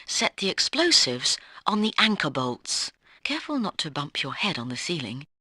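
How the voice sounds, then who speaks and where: A woman playing a brisk spy team colleague who gives instructive, authoritative mission briefings in a video game. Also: low voice, big pitch swings, pushed and bright, slight background noise, heavily acted.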